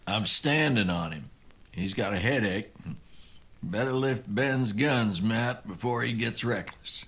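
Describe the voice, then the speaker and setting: A man playing a folksy, plucky cowboy sidekick in a western radio drama, with drawn-out frontier talk. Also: lowish voice, fairly bright tone, breathy edge, heavily acted. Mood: wry.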